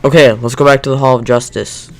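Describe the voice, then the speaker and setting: A man's voice: mid-range voice, steady tone, fast talker, lightly acted. A man playing a friendly, decisive young superhero in an audio drama. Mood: easygoing. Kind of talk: amateur audio drama acting.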